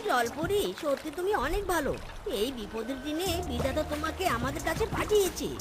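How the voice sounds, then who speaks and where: High-pitched good-hearted cartoon fox father. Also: animated, fairly quick. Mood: kindly. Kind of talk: dubbed children's cartoon dialogue. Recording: music behind the voice. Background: music.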